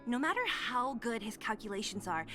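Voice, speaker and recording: A woman's voice: fairly high pitch, expressive, brisk, sharp tone, soft background music. A woman voicing a hot-headed, suspicious young female fighter in a video game, blunt and confrontational in an informal way. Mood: indignant, insistent.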